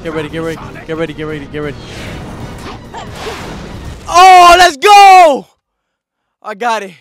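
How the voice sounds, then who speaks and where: A man hosting a gaming video with excitable, slangy, profane commentary, often repeating phrases and yelling. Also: fairly high pitch, racing. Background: video game music and sound effects.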